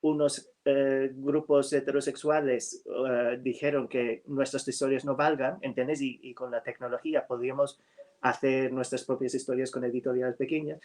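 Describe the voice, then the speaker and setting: A man whose first language is English, a writer in a casual chat over a video call, speaking slowly and hesitantly with many fillers. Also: medium pitch, flat delivery, a little mellow. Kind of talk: casual conversation.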